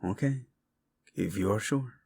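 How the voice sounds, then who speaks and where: A man's voice: lowish voice, vocal fry, slightly rough, exaggerated voice. A man playing a devoted, hot-tempered yet tender king, speaking intimately and teasingly in a romantic audio roleplay. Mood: adoring, amused. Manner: soft, intimate, teasing, halting.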